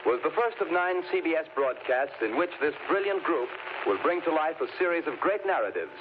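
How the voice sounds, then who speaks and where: A man announcing formally in 1930s radio style, reading out with crisp enunciation. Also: fairly high pitch, lively intonation, a little fast. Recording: tinny, noisy old radio broadcast.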